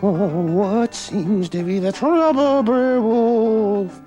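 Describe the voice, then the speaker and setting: A man voicing a tricky, boastful male rabbit in a storybook tale, with a high, sing-song squealing voice. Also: animated, slow pace, mellow. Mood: sly teasing. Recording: guitar playing behind.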